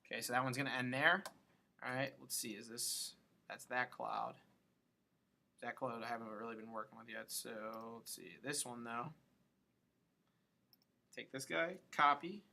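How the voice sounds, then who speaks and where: A man with a gravelly, bright voice at a medium pitch talks through a software tutorial. He is informal and thinks aloud, muttering to himself between steps. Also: unhurried, long pauses, slight background noise. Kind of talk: casual screen-recorded tutorial, thinking aloud while working.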